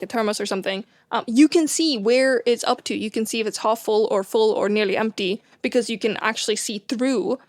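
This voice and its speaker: A woman with a Swedish accent explains astronomy in an eager, rambling way, pausing on fillers and restarting her sentences. Her voice sits at an average pitch. The recording is close and dry, with no room sound. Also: no room sound. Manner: enthusiastic, many fillers and restarts. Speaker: co-host, astronomer. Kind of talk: casual science chat.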